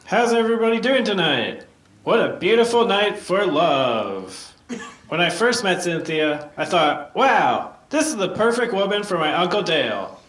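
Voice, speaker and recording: A man's voice: high-pitched, big pitch swings, unhurried, a bit bright, exaggerated voice. A man playing an oblivious, earnest sitcom character who delivers a wedding toast as stand-up comedy. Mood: earnest, jokey. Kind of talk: sitcom acting, speech to an audience. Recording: echoey microphone in a room.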